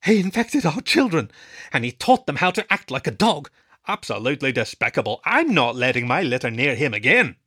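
A man voicing an indignant parent wolf who complains loudly and scornfully. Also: medium pitch, big pitch swings, a little fast, breathy edge, heavily acted. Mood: outraged.

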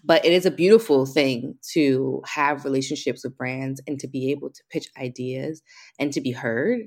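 Young american woman, low voice, guest in a chat, confident, laughs a lot. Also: moderate pace. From United States. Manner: animated, laughs often, self-assured. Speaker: guest, hairstylist. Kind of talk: casual interview chat.

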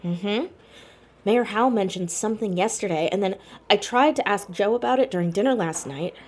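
A woman's voice: medium pitch, normal speed, close to an everyday voice. A woman playing a curious, dry-witted teenage girl investigator in a naturalistic audio drama. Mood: intrigued. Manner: inquisitive, dry, hesitant on personal matters. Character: Max, curious sharp-witted teenage girl sleuth, orphaned.

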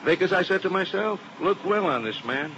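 An uneasy insurance agent. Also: male voice, high-pitched, animated, a little fast. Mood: earnest. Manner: earnest, talkative, uneasy. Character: worried male insurance agent, earnest partner. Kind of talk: 1950s radio drama acting. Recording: muffled old radio broadcast with hiss.

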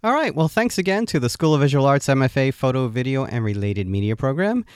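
A man hosting an interview podcast, talking casually and pausing often on fillers. His voice sits at a middle pitch. The sound is dry and close. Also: very animated, smooth, dry recording. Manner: conversational, halting with fillers. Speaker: podcast host.

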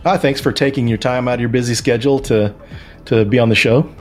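A man's voice: mid-range voice, steady tone, fast talker, darkish tone, faint music behind. A man hosting an interview podcast, talking in an encouraging conversational way. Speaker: podcast host.

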